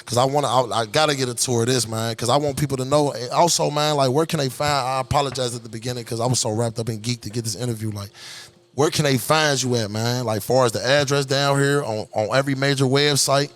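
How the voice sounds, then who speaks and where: A man's voice: mid-range voice, steady tone, quick pace. Hyped, rambling podcast host, a man. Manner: hyped, slangy, rambling with many fillers. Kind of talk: casual podcast interview.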